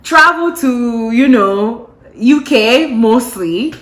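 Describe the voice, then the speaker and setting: A woman vlogger casually telling a gossipy story to her viewers, animated and full of playful asides. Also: on the high side, very slow. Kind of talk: casual gossip chat to camera. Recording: roomy echo.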